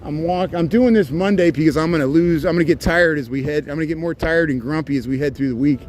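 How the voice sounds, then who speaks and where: A man in his forties with a slight Cincinnati-Kentucky twang narrates a casual city walk. He talks in a chatty, rambling way and hams it up for his viewers. His voice sits a bit high for a man, over faint street traffic. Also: fast, darkish tone. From near Cincinnati, US Midwest. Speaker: walking-tour vlogger. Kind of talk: casual walking-tour commentary. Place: city street outdoors at night. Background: faint street and traffic noise.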